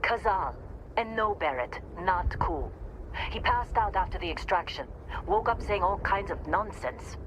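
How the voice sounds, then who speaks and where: A woman's voice: medium pitch, lively intonation, unhurried, a little hiss or hum, lightly acted, poor audio. A woman playing a blunt, dry, commanding female mining supervisor in a video game, weary and no-nonsense. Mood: curt, irritated.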